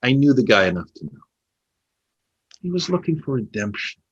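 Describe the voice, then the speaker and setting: A man playing a probing, cynical former literary agent in a naturalistic two-man play, pointed and halting, with a husky voice. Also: mid-range voice, sing-song, highs cut off. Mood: defensive, earnest. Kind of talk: naturalistic stage dialogue.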